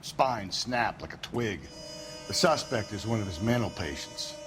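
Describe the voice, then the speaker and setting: An actor playing a slangy, wry 1940s police detective in a noir video game. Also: male voice, on the low side, animated, unhurried. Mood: wry, businesslike. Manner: colloquial, hard-boiled. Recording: clear music behind the voice.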